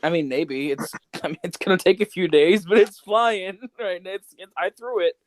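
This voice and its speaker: A man's voice: high voice, normal speed, no echo. A man co-hosting a podcast, bantering casually with a playful, sweary, irreverent manner. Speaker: podcast co-host.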